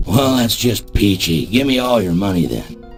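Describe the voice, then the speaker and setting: A man's voice: on the low side, sing-song, normal speed, gravelly. An actor playing a menacing, sarcastic male mugger in an adventure game, with music behind him. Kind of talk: scripted adventure game acting. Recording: music under the voice.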